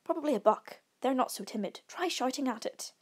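A woman voicing an unbothered male camping companion in a storybook read-aloud, deadpan, dismissive and mocking. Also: high voice, brisk, breathy edge, voice pushed up. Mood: dry. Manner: deadpan, implacable.

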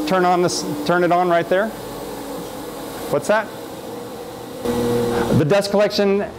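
Brief: thirties male shop guide, eager, chatty with "uh"s, camera mic in a big workshop, faint hum behind. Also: on the high side. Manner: enthusiastic, gestures while walking, frequent fillers. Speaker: makerspace director giving a shop tour. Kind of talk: casual walking tour. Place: large indoor workshop. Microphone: camera mic, medium distance.

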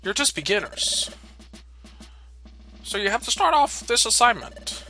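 A man's voice: very high-pitched, sing-song, unhurried, sharp tone. A man giving casual, irreverent let's play commentary, reading game dialogue aloud with playful asides. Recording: game music behind the voice. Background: video game music.

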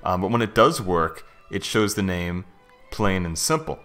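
A man giving a casual, conversational software review, informal and thinking aloud as he talks through what he shows. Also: deep voice, sing-song, a little slow. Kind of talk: casual screen-recorded software walkthrough. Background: background music.

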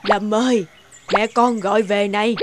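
A woman voicing an affectionate, caring old village grandmother in an animated moral story. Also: medium pitch, moderate pace, a little hiss or hum, natural voice. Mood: gentle. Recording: music clearly behind the voice.

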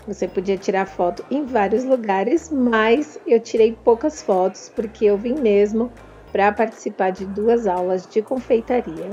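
A woman's voice: fairly high pitch, unhurried, mellow, muffled recording, soft background music. A woman with a Brazilian Portuguese accent, narrating a vlog about a trade fair and talking straight to her viewers in a chatty way. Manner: chatty, addresses viewers directly. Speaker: vlogger and confectioner.

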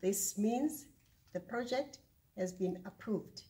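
A woman's voice: mid-range voice, slow, mellow. A middle-aged woman giving scripted instructions as a science fair presenter. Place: classroom or lab with display boards, indoors.

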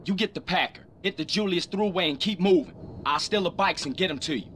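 Blunt, streetwise crew boss. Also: male voice, high-pitched, fairly quick, fairly bright tone. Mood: commanding. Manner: blunt, commanding, casual slang. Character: bold, streetwise young male gangster leading a heist. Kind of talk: video game cutscene acting. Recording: faint background noise.

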